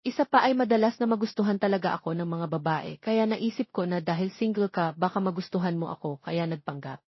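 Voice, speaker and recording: A woman's voice: on the low side, fast, breathy edge, no echo, dull audio. A woman voicing a confident, flirty, jealous husband in a romance story, blunt and teasing, with a Filipino accent. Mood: flirty, explaining. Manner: blunt, teasing, mixes English and Tagalog.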